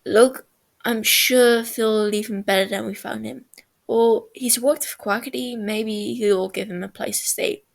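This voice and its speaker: A gruff, blunt young man with a low, flat delivery and a gravelly edge, put on by a woman reading a story aloud. He talks slowly and dryly, like a tired older brother in a storybook.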